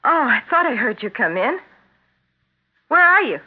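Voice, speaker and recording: An actress playing an anxious, placating wife in a 1970s radio drama, hesitant and faltering. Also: female voice, fairly high pitch, very animated, normal speed, slightly rough. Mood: tentative. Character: anxious, placating wife, growing frightened of her husband. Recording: muffled, narrow-band 1970s radio recording.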